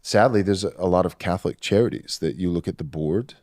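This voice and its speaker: A man in a played podcast clip, discussing religious history in a measured, deliberate way. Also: sits low, a little mellow, no echo, clean studio sound.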